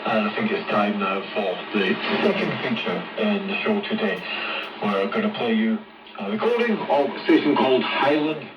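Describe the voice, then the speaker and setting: A man's voice: medium pitch, big pitch swings, moderate pace. A man presenting as a radio DJ between songs, heard through a shortwave radio set. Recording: dull shortwave radio playback, distant, loud music. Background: loud music.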